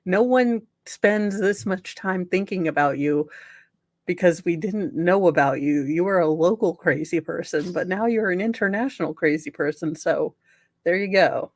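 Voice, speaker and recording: A woman's voice: lowish voice, moderate pace, no room sound. A woman hosting a comedic dramatic reading, sarcastic and theatrical, breaking in with mocking, casually sweary asides. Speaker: podcast host.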